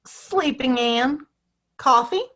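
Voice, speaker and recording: Woman playing a sassy high-voiced saloon gal. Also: expressive, takes it slowly, a bit bright, full character voice. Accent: American. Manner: flirty, sassy, folksy. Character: sweet-talking, spirited Old West saloon woman. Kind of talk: Western audio play acting.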